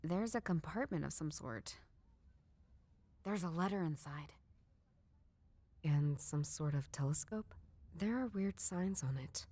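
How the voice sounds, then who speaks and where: An actress playing a tough, dry-witted woman detective who narrates a noir game in a deadpan, understated way. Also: low-pitched, measured pace, pauses often. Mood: dry, matter-of-fact.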